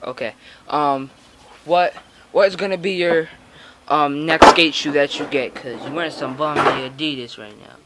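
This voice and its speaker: A man casually interviewing someone from behind a handheld camera on a porch, hesitant and filling pauses with "um" and "all right". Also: on the high side, heavy background noise. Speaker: interviewer filming a young skater. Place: outdoors on a house porch.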